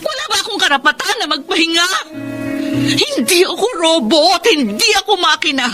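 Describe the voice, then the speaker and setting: An actress playing an exhausted young breadwinner daughter in a Filipino radio drama, emphatic and pleading. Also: female voice, very high voice, very animated, normal speed, over music. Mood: anguished outburst. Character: exhausted, fed-up breadwinner daughter, working woman.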